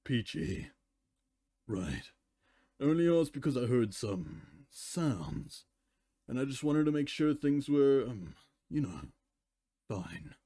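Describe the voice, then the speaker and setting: Man playing a hesitant, mellow pony guard. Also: mid-range voice, very animated, slow pace, long pauses, no room sound. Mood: awkward, unsure. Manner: hesitant, tentative. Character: polite, hesitant male pony castle guard.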